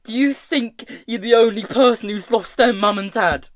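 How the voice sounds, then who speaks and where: Man acting as hurt teenage boy, high strained pitch, shouting, protesting, indignant, audiobook drama. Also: normal speed, dry recording, studio quality, natural voice. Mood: angry, hurt.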